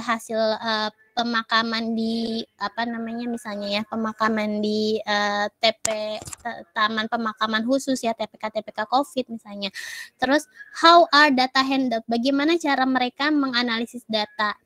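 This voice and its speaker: A woman's voice: on the high side, steady tone, moderate pace, a bit bright. A woman giving a casual lecture with many fillers and English terms, recovering from a cough. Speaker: university lecturer.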